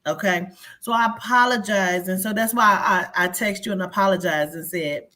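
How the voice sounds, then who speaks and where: A woman's voice: mid-range voice, normal speed, pushed and bright. A woman guest in a casual interview, chatty and earnest.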